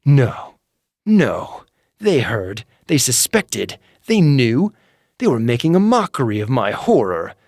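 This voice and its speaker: A man narrating a classic horror tale, moving between calm menace and frantic intensity. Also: mid-range voice, takes it slowly, dry recording, studio quality.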